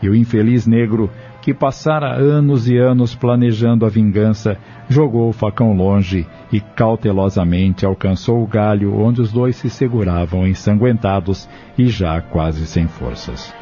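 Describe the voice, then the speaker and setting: A man narrating a scripted audio drama in a measured, dramatic storytelling manner. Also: on the low side, mellow. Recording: dull lo-fi audio with music underneath.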